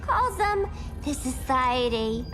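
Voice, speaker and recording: A woman's voice: high voice, very animated, slow, heavily acted. A woman playing a perky, wisecracking young reformed villain in a game cutscene, bubbly and slangy. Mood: breezy, briefing. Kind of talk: video game cutscene acting. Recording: long reverb, loud music behind.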